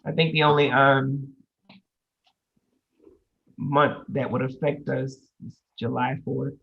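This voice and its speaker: A woman chairing a public council meeting, running motions and agenda items in a brisk, procedural way with frequent pauses on "um," speaking over a video call. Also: very deep voice, level tone, creaky, dull audio.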